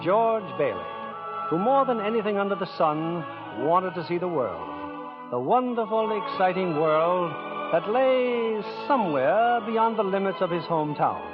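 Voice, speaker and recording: Animated radio announcer over music. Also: male voice, sits high, measured pace. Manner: polished, dramatic presenter. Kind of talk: 1940s radio scripted narration. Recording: dull old radio recording, loud music.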